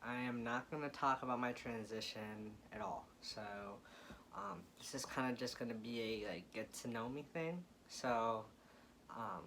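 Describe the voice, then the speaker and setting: A man's voice: mid-range voice, measured pace. Young adult male vlogger, American, informal and emphatic, mid-range voice with faint room noise. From Florida, United States. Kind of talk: casual chat to viewers.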